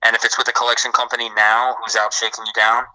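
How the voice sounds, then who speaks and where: A man talks fast in a bright, cutting voice. He stays low and even in pitch as he explains financial answers step by step. The sound is dry and close. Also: dry recording, low-quality recording. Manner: explanatory, repeats words when restarting. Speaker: credit repair co-host. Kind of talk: live podcast Q&A.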